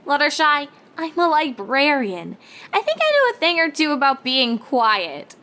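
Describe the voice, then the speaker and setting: A woman's voice: sits high, very animated, a little slow, sharp tone, voice pushed up, heavily acted. A woman voicing a bookish, skeptical female cartoon pony, incredulous, emphatic and easily flustered. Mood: defensive, indignant. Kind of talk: character voice in fan fiction reading.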